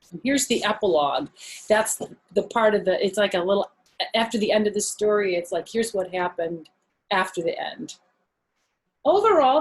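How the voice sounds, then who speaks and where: Older female storyteller, grandmotherly role, children's read-aloud with simple explanatory asides, mid-range pitch. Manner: explains words plainly to young listeners. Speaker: grandmother reading a picture book to children.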